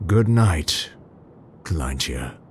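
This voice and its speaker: A man acts out an awkward, tender older scientist in an ASMR roleplay. His voice sits low and moves slowly, with halting, formal musings that rise and fall expressively. A faint rumbling noise lies behind him. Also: lively intonation, a little mellow, full character voice. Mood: tender, hushed. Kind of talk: ASMR roleplay, intimate scripted monologue to listener.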